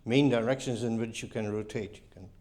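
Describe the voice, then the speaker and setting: A man's voice: lowish voice, fairly quick, a little mellow. Man giving an informal lecture explanation. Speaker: co-presenter explaining mathematics.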